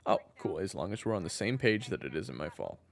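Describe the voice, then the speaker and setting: A world-weary, brooding man with a dark past , played by a male voice actor in an audio drama. His voice sits extremely low and gravelly, with wide swings in pitch. The sound is roomy. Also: very animated, fairly quick, full character voice. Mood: weary, defensive. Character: brooding weary ex-killer husband fighting his inner demon. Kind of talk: audio drama first-person narration and dialogue.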